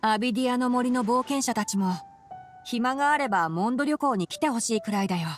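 A woman voicing a clever, frank young male forest botanist in an anime video game, brisk and matter-of-fact. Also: a little high, faint music behind, lightly acted.

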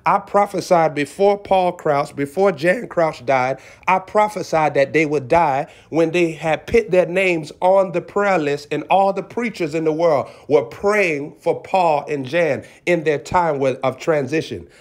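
A man's voice: on the high side, measured pace, hardly any pauses. A man preaching as a self-styled prophet in an impassioned exhortation, emphatic and repeating short phrases for effect.

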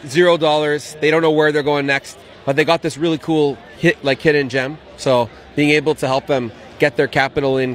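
A man's voice: fairly high pitch, fairly bright tone. A man, around his thirties, answering questions about his work in an outdoor street interview. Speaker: interviewee, investment banking business owner. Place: outdoor patio at an event. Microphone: handheld interview mic.

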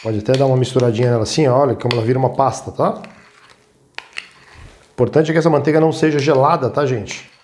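Male cooking host, Brazilian accent, medium pitch, informal instructional delivery with frequent check-in tags. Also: steady tone, brisk, long pauses, a little mellow, slight background noise. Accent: Brazilian Portuguese. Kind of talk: casual step-by-step cooking demonstration.

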